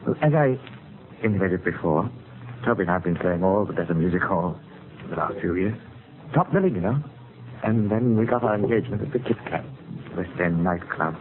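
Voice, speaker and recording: An actor playing a proud, brooding, touchy music-hall ventriloquist in a vintage radio drama, speaking haltingly and intensely. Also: male voice, low-pitched, animated. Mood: proud recounting. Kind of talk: 1940s radio drama acting. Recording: noisy, tinny vintage radio recording.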